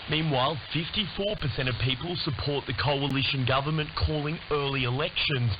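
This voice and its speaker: A man reading a scripted report as a news correspondent over shortwave radio. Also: mid-range voice. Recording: muffled shortwave radio with heavy hiss.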